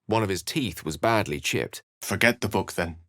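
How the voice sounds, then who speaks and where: A man's voice: sits low, animated, normal speed, fairly bright tone, dry recording, studio quality. A man voicing an eloquent, bookish, theatrical wizard in a video game, speaking with refined and wry wording. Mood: narrating, thoughtful. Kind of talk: video game character dialogue and narration.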